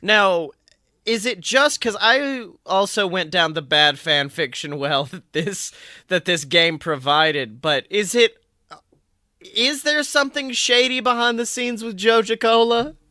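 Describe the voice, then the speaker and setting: A male podcast host with a high, bright voice. He chats casually and jokes around, sometimes swearing. The sound is dry and close. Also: measured pace, dry recording. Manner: joking, uses profanity. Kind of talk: casual banter about a video game.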